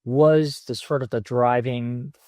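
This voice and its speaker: A man in his fifties, a podcast guest, recalling his past in a reflective, unhurried way with many fillers. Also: medium pitch, fairly even intonation, mellow, no echo, studio quality. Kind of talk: conversational interview answers.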